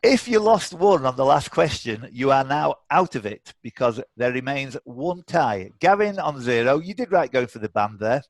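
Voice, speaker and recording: A man runs a live quiz over a video call. He talks off the cuff with teasing banter, at a middling pitch. The sound is dry, with no room around it. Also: expressive, moderate pace, hardly any pauses, no room sound. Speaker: quiz host. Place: indoors, online video call.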